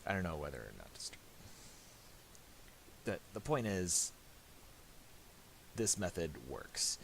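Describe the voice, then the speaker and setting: A man presenting a casual software tutorial in a halting, mumbled way, on a hissy home recording with clicks. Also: deep voice, big pitch swings, creaky.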